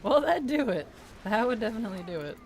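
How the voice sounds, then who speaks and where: Live streamer, mid-pitched, strained and weak-voiced, chatty and soft-spoken, reads chat aloud. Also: sing-song, fast talker, slight background noise. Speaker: game streamer. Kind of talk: casual live-stream chat while playing.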